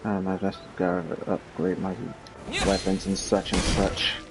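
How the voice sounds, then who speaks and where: Casual male streamer: low pitch, sarcastic asides and muttered thinking aloud while playing. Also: monotone, unhurried. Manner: offhand, muttering to self, sarcastic. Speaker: game streamer. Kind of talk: casual live game commentary. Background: faint game music.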